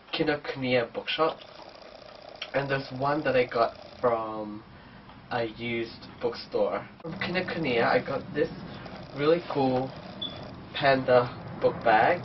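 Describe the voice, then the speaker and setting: A man's voice: medium pitch, background noise. A man with a cold and a blocked, nasal voice, chatting casually through a book haul vlog. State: sick, blocked nose. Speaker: book vlogger. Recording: distant dull camera mic.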